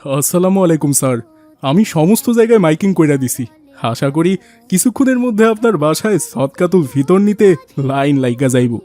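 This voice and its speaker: Man as a high-voiced humble rickshaw puller. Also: expressive, brisk, dark tone, soft background music, natural voice. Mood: deferential. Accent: rural Bangladeshi dialect. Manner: deferential, plain-spoken. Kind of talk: cartoon dialogue acting.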